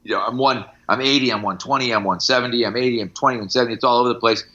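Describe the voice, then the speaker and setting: Bright-voiced male strength coach, slightly dull audio. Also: medium pitch, steady tone, rapid-fire. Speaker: strength coach, podcast guest. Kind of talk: casual podcast talk with anecdotes.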